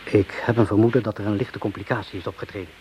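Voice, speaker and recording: An actor playing a gruff, scornful army doctor, a brusque and commanding major in a radio drama. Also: male voice, on the low side, steady tone, quick pace, a little mellow, slight background noise, natural voice. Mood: brisk.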